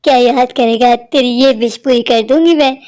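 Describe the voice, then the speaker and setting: A woman's voice: fairly high pitch, steady tone, moderate pace, a little mellow, lightly acted. A woman voicing a cruel, hungry witch in a cartoon story, menacing, taunting and boastful. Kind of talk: Hindi cartoon story acting.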